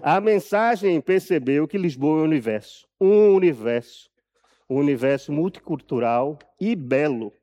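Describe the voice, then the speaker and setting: Higher-pitched Brazilian man giving a talk. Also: animated, warm tone, highs cut off. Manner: deliberate, emphatic, repeats phrases for effect. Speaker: journalist and author speaking at an event. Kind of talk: live public talk, storytelling from a prepared text.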